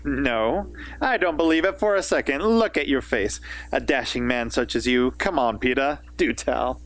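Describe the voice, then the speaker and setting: A man's voice: mid-range voice, normal speed, close to an everyday voice. A man playing a showy, jovial master of ceremonies in a radio drama, with theatrical announcer delivery. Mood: genial, teasing. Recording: loud crowd and background noise.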